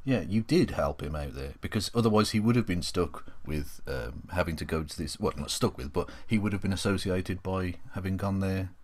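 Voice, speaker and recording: A man co-hosting a casual, opinionated panel chat, speaking passionately and haltingly, repeating words as he builds his point. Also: low voice, darkish tone. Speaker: occasional co-host and YouTuber.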